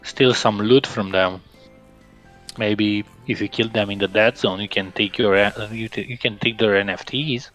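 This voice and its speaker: A man from Romania answers viewer questions on a livestream in a loose, casual way, with a few fillers. His voice sits on the lower side. Soft music plays faintly behind. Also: steady tone, normal speed, rough recording. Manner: casual, laughs often, some fillers.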